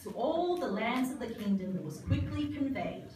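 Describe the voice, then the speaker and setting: Casting: female storybook narrator, scripted verse, slightly high, distant in a noisy, very reverberant room. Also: expressive, moderate pace. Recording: very echoey, distant, noisy live hall.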